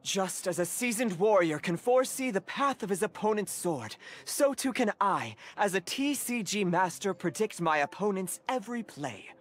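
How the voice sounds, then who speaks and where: A man's voice: high-pitched, animated, moderate pace, hardly any pauses. A man playing a grandiose, formal and reverent disciple who translates for a cat in a video game. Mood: lofty. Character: pompous, devoted male card-game disciple translating for a cat. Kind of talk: video game cutscene acting. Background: game music.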